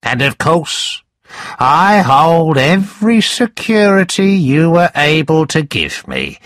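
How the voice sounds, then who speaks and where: A man voicing a scornful, sly, angry moneylender in a storybook, with a sneering, emphatic, drawn-out delivery. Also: medium pitch, fairly bright tone, no echo, clean studio sound, pitched above the performer's own voice, exaggerated voice.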